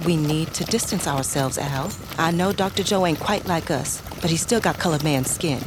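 An actress playing a practical, wary wife with a Southern US accent in an audio drama. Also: female voice, sits low, moderate pace, close to an everyday voice. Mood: worried, urgent. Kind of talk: naturalistic audio drama dialogue. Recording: noisy outdoor street ambience.

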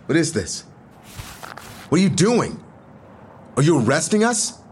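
A high-pitched alarmed civilian man in an audio drama, indignant and very slow-paced. His intonation jumps around over background noise. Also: big pitch swings, a little mellow.

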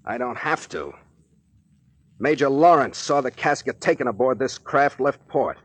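Husky, brusque tough sea captain. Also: male voice, medium pitch, expressive, a little slow, dry recording. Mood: hard, accusing. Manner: blunt, commanding, slangy. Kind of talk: 1940s radio drama acting. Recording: dry vintage 1940s radio recording.

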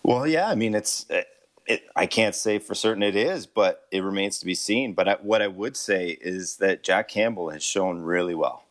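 A man's voice: deep voice, moderate pace. A man appearing as a guest hockey writer on a sports radio show, thinking through options aloud in an analytical way. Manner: thoughtful, weighs options aloud.

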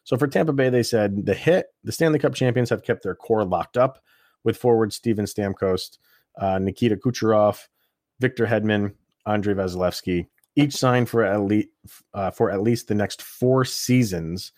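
Low-voiced man talking sports, dry close sound. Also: moderate pace, a little mellow, no room sound. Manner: opinionated, conversational, thinking aloud. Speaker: sports podcast host. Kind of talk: casual sports commentary.

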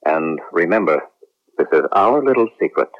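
An actor playing a resentful, manipulative colonel in a late-1970s radio drama. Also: male voice, deep voice, lively intonation, moderate pace, heavy background noise. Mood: confiding, insinuating. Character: scheming, resentful male colonel, smooth manipulative instructor. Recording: muffled, lo-fi vintage radio recording.

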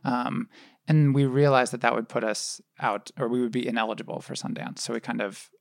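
A man with a heavily gravelly, creaking voice at an ordinary pitch speaks as a filmmaker guest. He is understated and hesitant, in casual conversation, recorded very dry. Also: flat delivery, a little fast, dry recording.

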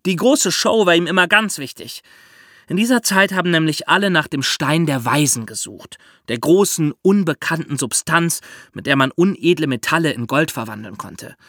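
Man storytelling, slightly high voice, dry studio. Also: animated, moderate pace, a bit bright, dry recording. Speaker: podcast host and storyteller. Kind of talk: lively explanatory storytelling for children.

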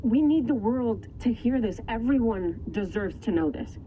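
A woman's voice: a little high, expressive, moderate pace, dark tone, slight background noise, close to an everyday voice, low-quality recording. A woman playing an earnest, insistent truth seeker in an audio drama.

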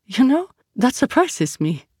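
An audiobook narrator voicing a perceptive, measured woman scholar, curious and probing. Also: mid-range voice, sing-song, a little mellow, breathy edge, dry recording, studio quality, pitched above the performer's own voice. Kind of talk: audiobook character dialogue.

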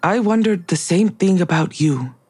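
Distrustful female colleague: rough-edged husky voice, blunt accusatory audio drama delivery. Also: fairly low pitch, expressive, moderate pace, darkish tone. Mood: accusing, bitter.

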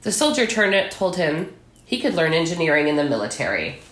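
Female author, American, low-pitched voice, recorded with noticeable room echo. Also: sing-song, brisk, a bit bright. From southern Illinois, United States. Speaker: author, podcast guest. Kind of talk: literary reading and interview talk.

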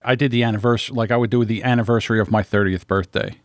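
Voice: mid-range voice, fairly even intonation, very fast, no room sound, studio quality. A podcast editor in their thirties joining in with casual, joking banter. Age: 30s.

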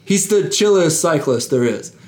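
A man's voice: a little high, animated, moderate pace, a little mellow. Enthusiastic man explaining sports. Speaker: podcast co-host. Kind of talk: casual chat.

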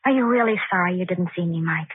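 A woman's voice: on the low side, quick pace, slightly rough, no room sound. An actress playing a wounded, defiant young woman with a guarded, pointed manner in a 1940s radio drama. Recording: tinny, phone-like old 1940s radio recording.

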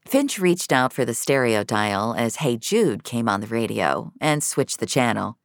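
A woman narrates scripted fiction in a very deep voice. There is a gravelly creak to it, and the sound is close and dry. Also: animated, a little slow, no echo.

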